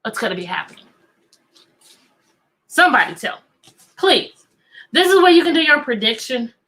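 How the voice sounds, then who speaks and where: A woman teacher reading a picture book aloud to children, animated and coaxing, often repeating questions to draw out answers. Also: sits high, moderate pace, low-quality recording. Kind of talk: interactive children's read-aloud.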